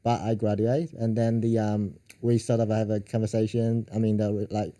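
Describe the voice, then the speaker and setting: A man in his thirties from China, speaking English with a Chinese accent, halting and searching for words as a podcast guest. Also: on the low side, level tone, mellow. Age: 30s.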